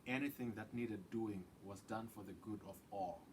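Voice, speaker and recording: A man reading a children's picture book aloud with deliberate, dramatic phrasing that drops into hushed tones. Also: lowish voice, background noise. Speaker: read-aloud storyteller.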